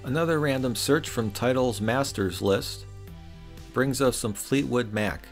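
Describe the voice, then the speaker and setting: A man's voice: medium pitch, unhurried, soft background music. A middle-aged man reads a scripted product review voice-over in a wry, opinionated way. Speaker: reviewer and host.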